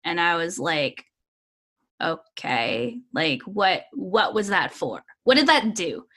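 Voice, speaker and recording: A woman's voice: fairly low pitch, very animated, slow pace, bright, forceful tone. A woman gives a candid, blunt opinion in a conversational, storytelling way.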